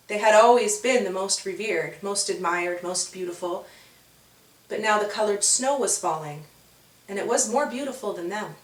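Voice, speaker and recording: A woman narrating a scripted children's story in a measured, dramatic storytelling manner. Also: medium pitch. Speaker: author and narrator. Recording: roomy, distant from the mic.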